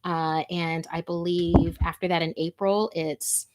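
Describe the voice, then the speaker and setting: A woman's voice: fairly low pitch, little pitch movement, unhurried, background noise. A woman, an informal and chatty host talking to viewers and reading out their stories. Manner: chatty, informal, easily amused. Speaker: host of a scary story show. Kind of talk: casual livestream chat and reading listener messages and stories aloud.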